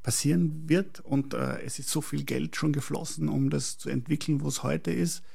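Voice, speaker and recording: A man from Prague, speaking as an architect in a reflective interview, deliberate and searching for words. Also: on the high side, fairly even intonation, creaky, warm tone, breathy edge. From Prague, Czechoslovakia, later schooled in Austria.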